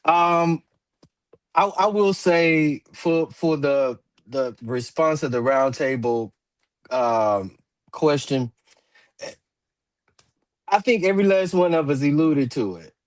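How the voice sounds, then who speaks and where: A man in his forties hosting a roundtable talk show, speaking in a measured way with many fillers and restarts and the odd throat clear. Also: on the high side, no echo. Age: 40s. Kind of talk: roundtable discussion.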